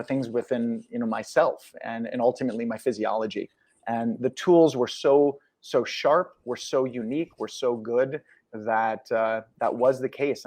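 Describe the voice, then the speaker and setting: A man speaking as a podcast guest, earnest and explanatory, often pausing on fillers and restarting his sentences. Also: mid-range voice, a little mellow. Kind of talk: conversational interview.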